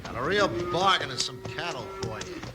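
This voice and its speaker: A man's voice: on the high side, very animated, brisk, loud music behind the voice. A man playing a ruthless, swaggering cattle rustler boss in a 1950s radio western, with a drawling tough manner. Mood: pushy, sly. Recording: noisy vintage 1950s radio recording.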